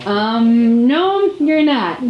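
A woman's voice: a little high, sing-song, drawn-out words, dull audio, heavily acted. A woman voicing a sly male fox with a sweet tooth, teasing and drawling, in an echoey room. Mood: blunt.

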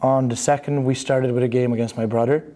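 A young man from Bulgaria speaks in a mellow, warm voice, pausing with fillers as he answers questions in a studio. His pitch sits in a middling range. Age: young adult. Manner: relaxed, halting with fillers and restarts. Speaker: student athlete interviewee. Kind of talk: casual interview answers. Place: studio in front of a banner.

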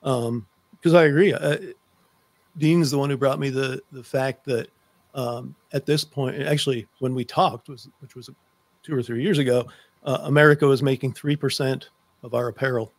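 A man's voice: medium pitch, steady tone, normal speed, dry recording. Middle-aged male host, close dry sound. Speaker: podcast host. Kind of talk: conversational interviewing. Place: book-lined room, on a video call. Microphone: close desk microphone.

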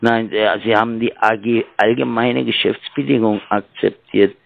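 A man calling as a sales agent by phone, halting and fumbling with fillers, heard over a tinny phone line. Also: lowish voice, steady tone, vocal fry, no room sound. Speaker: scam caller. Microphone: telephone.

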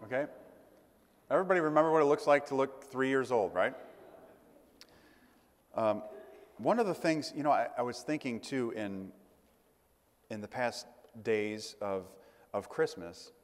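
A middle-aged man, a priest, giving a conversational sermon in a church, often pausing with fillers and restarting phrases. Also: medium pitch, lively intonation. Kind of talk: sermon to a congregation. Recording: long church reverb.

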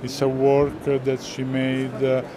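A middle-aged man with an Italian accent explaining artworks to visitors, pausing often to search for words. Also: medium pitch, completely flat delivery. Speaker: art gallerist presenting works. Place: large indoor art fair hall. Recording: busy hall crowd noise.

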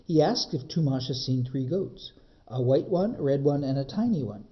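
A man reads a children's story aloud like a librarian at story time. His pitch moves up and down a lot, with dramatic, measured phrasing. The sound is a little muffled. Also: animated. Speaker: librarian reading a children's book. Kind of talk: expressive storybook narration.

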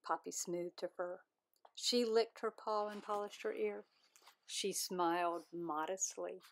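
A woman reading her children's story aloud as its author, in expressive storybook narration. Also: mid-range voice, takes it slowly.

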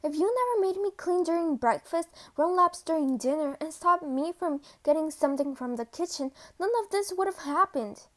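A woman's voice: high voice, measured pace, mellow. A woman playing a defiant young female cadet in a story, emotional and easily flustered. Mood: angry, frustrated. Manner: stammering when flustered, openly emotional.

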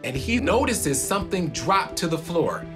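A woman's voice: on the low side, big pitch swings, normal speed. A woman giving commentary on a true-crime documentary. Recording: music underneath.

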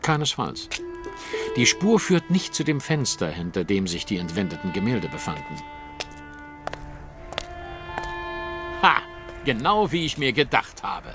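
An actor playing a cool, analytical and self-assured detective in a German audio drama, with precise, formal diction. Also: male voice, lowish voice, sing-song, moderate pace, music in the background. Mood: observant, assured.

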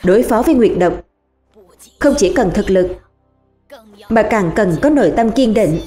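A woman's voice: mid-range voice, animated, normal speed, warm tone, roomy sound, soft background music, lightly acted. Woman voicing a solemn, wise cartoon shaman woman. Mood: calm, solemn. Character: wise, calm elder female shaman mentor. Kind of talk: animated series dubbing.

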